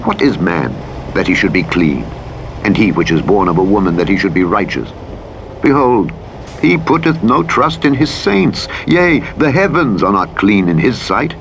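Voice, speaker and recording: A man with a very low voice gives a solemn reading of scripture. His delivery is formal and measured, with a dramatic narrator's weight. Clear truck engine and vehicle noise runs behind him. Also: very animated, low-quality recording. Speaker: Bible audiobook narrator. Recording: playback under loud truck engine noise. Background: truck engine and vehicle noise from a driving game.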